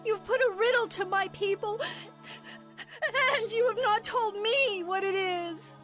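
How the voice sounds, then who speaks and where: Very high-voiced tearful young bride. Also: female voice, measured pace, music in the background. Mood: tearful reproach. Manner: tearful, accusing. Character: hurt, reproachful young bride. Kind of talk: dramatized audio Bible acting.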